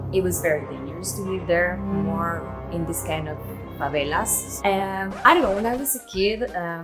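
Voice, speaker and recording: A woman in her twenties from Venezuela, speaking English with a Venezuelan Spanish accent in a casual, chatty solo Q&A vlog. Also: on the low side. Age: 20s. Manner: chatty, laughs often, non-native grammar. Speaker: vlogger.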